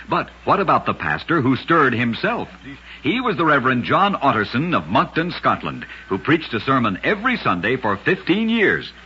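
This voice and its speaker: A man's voice: medium pitch, pushed and bright, dull audio, a little hiss or hum. A man narrating short scripted stories as a radio host, with a dramatic, emphatic delivery, on a vintage radio recording.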